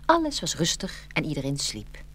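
A woman's voice: low-pitched, wildly swooping pitch, normal speed, fairly bright tone, slight background noise. A woman narrating a scripted fairy tale expressively, as a storyteller.

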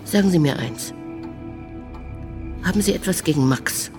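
A woman's voice: on the low side, animated, normal speed, lightly acted. A woman playing a disillusioned, gossipy society hostess in a German radio drama, talking with sighing irony. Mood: wry, probing. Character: disillusioned society salon hostess, mother-in-law, gossipy and wry. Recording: music playing behind the voice.

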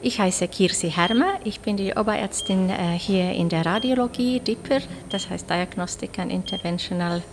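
A woman's voice: medium pitch, normal speed, hardly any pauses. A woman working as a senior radiologist, explaining medical findings carefully and hesitantly, word by word. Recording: clearly noisy room recording.

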